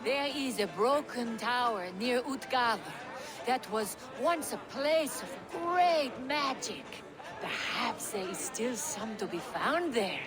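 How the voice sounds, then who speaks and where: Female welcoming giantess hostess, game cutscene, stately storyteller, high voice, unhurried, music behind. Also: lively intonation, crowd noise. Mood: storytelling. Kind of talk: video game cutscene dialogue.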